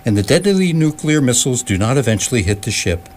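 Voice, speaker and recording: A man playing a dry, wry narrator in radio theatre, with formal, deadpan wording. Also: medium pitch, quick pace, slight background noise, close to an everyday voice. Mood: wry reassurance.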